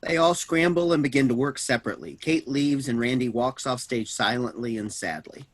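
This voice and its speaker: A man's voice: medium pitch, brisk. A man reading stage directions as scripted narration for a play.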